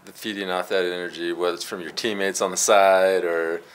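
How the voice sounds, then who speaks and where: A man's voice: low-pitched, little pitch movement, brisk, clear, resonant tone. A male reporter asking casual, loosely phrased post-game questions.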